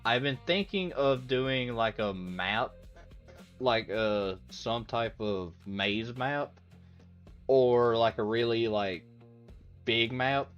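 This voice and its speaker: A man's voice: mid-range voice, slow, fairly bright tone, clean tone. A young man chatting casually with his livestream viewers, rambling and laughing easily. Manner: rambling, laughs easily, reads out chat messages. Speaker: streamer. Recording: dull lo-fi audio with faint background music.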